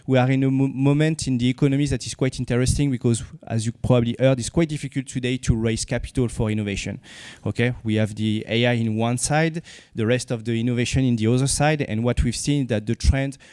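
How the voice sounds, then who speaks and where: A man with a French accent presents at a conference, pausing often and restarting his sentences. His voice is smooth and resonant, and the sound is dry and close. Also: medium pitch, steady tone, a little mellow, no room sound. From France. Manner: halting, many fillers and restarts. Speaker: conference speaker, company CEO. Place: conference venue.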